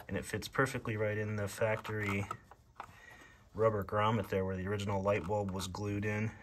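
A man giving a casual, plainspoken hands-on demonstration in an indoor workshop. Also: on the low side. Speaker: host of a radio repair video. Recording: clear background noise, workshop ambience.

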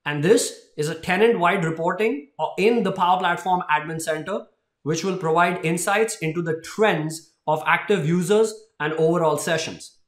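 Man, high-pitched voice, tech presenter, emphatic explanatory delivery, echoey small room. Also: normal speed. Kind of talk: explanatory product announcement presentation. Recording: roomy indoor echo.

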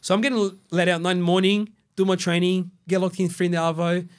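Animated man, slangy. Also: sits high, fast talker. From Brazil. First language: Portuguese. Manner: animated, slangy, restarts and repeats words. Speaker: podcast guest. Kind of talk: casual interview storytelling. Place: dark podcast studio. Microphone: studio mic on boom arm.

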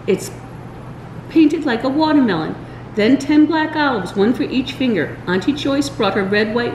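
Older woman reading, lively swooping pitch. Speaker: library story time reader. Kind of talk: read-aloud children's storybook narration. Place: indoors in a large library room. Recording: roomy echo, faint background room noise.

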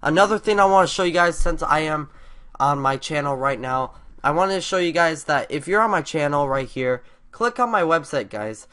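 A man's voice: a little high, expressive, normal speed, bright, forceful tone. A young man with a stuffy, congested voice, rambling eagerly to his viewers in a casual vlog at his computer. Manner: rambling, filler-heavy, eager. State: congested from a cold. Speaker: YouTuber. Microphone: desktop USB mic over screen recording.

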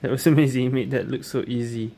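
A woman's voice: rumbling low, steady tone, quick pace, creaky, background noise. A woman hosting a gaming video, giving casual let's play commentary. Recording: loud game music behind voice. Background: game music and sounds.

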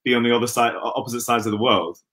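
A man in his thirties from Western Australia, a guest on a video call, talking in an easygoing, hesitant way with many pauses and restarts. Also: medium pitch, fairly even intonation, a bit bright. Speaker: guest, opera singer. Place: indoor room at home. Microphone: laptop webcam on video call.

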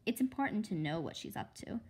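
A woman's voice: medium pitch, big pitch swings, brisk, darkish tone, breathy edge. A woman reading a children's storybook aloud with dramatic expression. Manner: dramatic, reads sound effects aloud. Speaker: read-aloud host.

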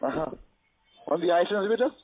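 A man's voice: high-pitched, lively intonation, normal speed. A man, working as a call center agent on a telephone call. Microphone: phone call. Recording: tinny narrowband phone line.

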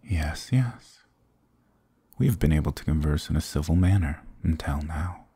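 Man as a very deep-voiced, arrogant demon king. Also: expressive, normal speed, dark tone, husky, no room sound, full character voice. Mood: taunting. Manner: sardonic, taunting, condescending, theatrical. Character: arrogant sarcastic dominant male demon king. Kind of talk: ASMR roleplay acting.

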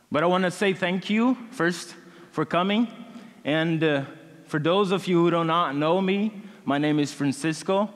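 Young man, Brazilian accent, earnest halting testimony, fairly high voice, big church hall echo, faint music behind. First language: Portuguese. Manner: earnest, halting, many "and, uh" fillers. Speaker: student speaker. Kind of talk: personal testimony to a congregation. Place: large church hall stage. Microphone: headset mic.

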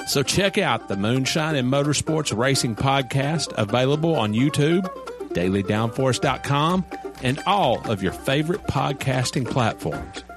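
A man's voice: mid-range voice, expressive, unhurried. A man reading a scripted promo as a podcast host. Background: light music.

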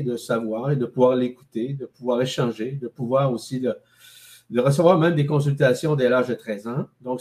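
Older Québécois man, mellow and measured. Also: medium pitch. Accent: Québécois French. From Quebec City, Canada. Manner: slow, measured, many hesitations. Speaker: guest. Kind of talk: spiritual interview talk. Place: home room with plants. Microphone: video call.

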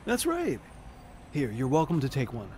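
A man's voice: mid-range voice, huge pitch swings, normal speed, darkish tone. A kindly, assured man evangelizing on the street in an audio drama. He sounds earnest and reassuring, with faint traffic ambience behind him.

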